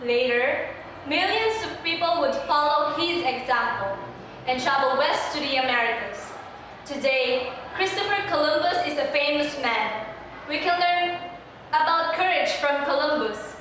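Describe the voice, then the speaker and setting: A woman's voice: sits high, lively intonation, a little slow, a bit bright. A young woman narrating a student readers' theater piece from a script. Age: young adult. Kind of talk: scripted narration read aloud on stage. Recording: distant mic, very echoey hall, faint noise.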